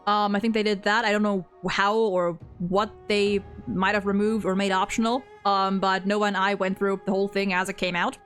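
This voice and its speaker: A woman hosting a casual, unscripted opinion chat about games, rambling and thinking aloud in halting phrases. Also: medium pitch, little pitch movement, fairly bright tone, faint music behind. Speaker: host of a video game ranking video.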